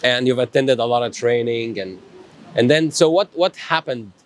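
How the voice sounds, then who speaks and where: A man's voice: mid-range voice, animated, normal speed. A middle-aged man hosting a live stream in a small studio, upbeat and motivational, thanking commenters. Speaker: host.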